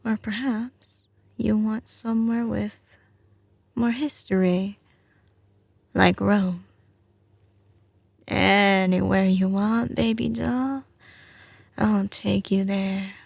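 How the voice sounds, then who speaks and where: Woman voicing a doting mafia heiress girlfriend, slight Southern drawl, gravelly fry, tender and confident, close dry sound, intimate ASMR roleplay. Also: mid-range voice, flat delivery, a little mellow, no room sound. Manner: tender, slow and assured, often dropping to a whisper.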